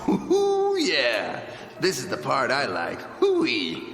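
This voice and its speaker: An actor playing a cocky, greedy robber in a radio drama, folksy, teasing and exuberant. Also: male voice, mid-range voice, wildly swooping pitch, takes it slowly, background noise, full character voice. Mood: gleeful. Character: cocky, greedy, gleeful male gold robber.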